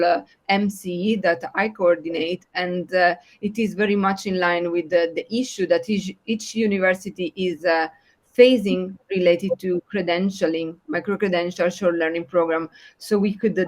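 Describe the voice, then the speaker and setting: A woman from Italy with an Italian accent, presenting slides at an online project meeting, pausing often with filler sounds. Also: fairly low pitch. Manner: hesitant, many filler sounds and restarts.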